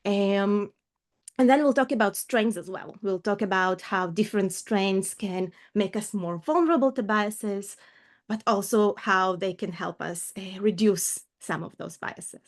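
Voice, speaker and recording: A woman's voice: medium pitch, a little slow. A middle-aged woman from Ukraine, raised in Israel, speaking as a coach in a casual video call interview, earnest and thoughtful with small fillers. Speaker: coach and guest.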